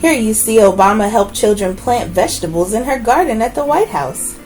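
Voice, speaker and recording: A woman reading a children's book aloud as host, with expressive, deliberate phrasing and brief asides. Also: on the high side, faint music behind, low-quality recording.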